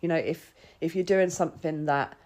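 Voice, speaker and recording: A woman's voice: low-pitched, fairly even intonation, normal speed, darkish tone. A woman talking casually and confessionally as a podcast host, with informal phrasing full of "like". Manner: informal, sweary, frequent "like" and self-quoting. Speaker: podcast host sharing personal experience.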